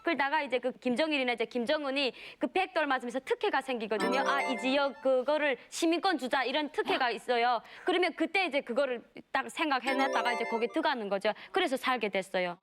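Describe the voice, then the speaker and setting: A woman's voice: high voice, lively intonation, quick pace, hardly any pauses, over music. A woman from North Korea, a panelist telling stories in casual studio talk.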